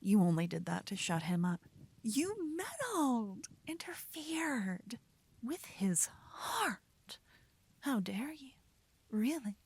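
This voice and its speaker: A woman playing a devoted, teasing adventurer lover in a romantic fantasy ASMR roleplay, breathy and often whispering, speaking intimately into a close microphone. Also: fairly high pitch, big pitch swings, darkish tone, full character voice. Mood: musing, gently reasoning, then playful, teasing. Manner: slow, intimate, soothing delivery. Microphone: close, dry microphone.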